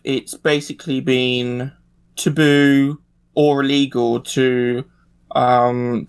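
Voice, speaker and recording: Creaky-voiced man in casual discussion. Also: medium pitch. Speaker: panelist.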